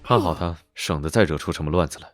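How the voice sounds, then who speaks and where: An actor playing a suave, teasing young gentleman investigator in an animated drama, smooth-talking and nonchalant. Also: deep voice, quick pace, dry recording, studio quality, faint music behind, lightly acted. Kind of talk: Chinese animated drama dubbing.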